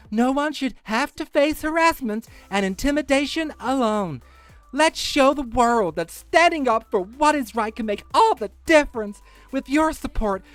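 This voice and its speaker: A man's voice: very high-pitched, fairly bright tone, no echo, pitched above the performer's own voice, exaggerated voice. A man doing a mock dramatic reading as a pompous, melodramatic fundraiser, pleading like a politician on the stump. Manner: overblown, politician-like speechifying. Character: pompous, melodramatic crowdfunding appealer pleading for justice.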